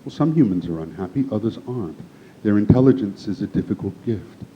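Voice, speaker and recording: A man reading as a wry, fastidious god. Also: expressive, close to an everyday voice. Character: playful fastidious male god, wry and curious. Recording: muffled with faint rumble.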